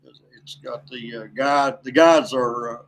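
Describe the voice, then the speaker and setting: A man speaking as a podcast guest in a casual, folksy, halting and plainspoken way. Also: mid-range voice, fairly even intonation, a little hiss or hum. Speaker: podcast guest, veteran angler. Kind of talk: casual chat.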